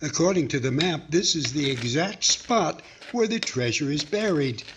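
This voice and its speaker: A man's voice: fairly high pitch, animated, normal speed, close to an everyday voice. A man playing the earnest leader of a treasure hunt in a 1940s-style radio comedy. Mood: determined. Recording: noisy home microphone with clicks.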